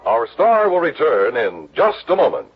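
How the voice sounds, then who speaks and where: A man announcing the show's credits and station notices in a formal, booming delivery. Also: wildly swooping pitch, normal speed. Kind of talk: radio announcing. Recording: muffled 1950s radio broadcast with music.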